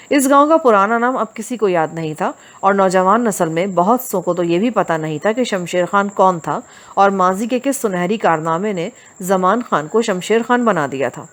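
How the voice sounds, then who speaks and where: A woman reading a crime novel aloud as scripted audiobook narration. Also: medium pitch.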